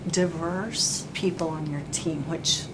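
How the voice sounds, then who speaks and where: A woman hosting an interview, warm and formal, full of praise and asides. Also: sits low, takes it slowly, noisy background. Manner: effusive, praising, fond of "you know" and "like". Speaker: interview host, chamber of commerce president.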